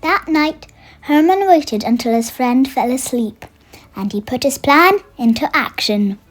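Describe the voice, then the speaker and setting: High-pitched storyteller reading for children over music. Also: unhurried. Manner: expressive storytelling for young children. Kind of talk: read-aloud bedtime story narration. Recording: music under the voice.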